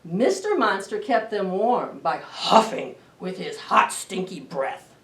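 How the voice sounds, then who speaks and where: A middle-aged woman hosting a children's storytime, reading aloud in an expressive, deliberate way to young listeners. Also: medium pitch. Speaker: library storytime host. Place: indoor library children's room. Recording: roomy echo, distant camera microphone.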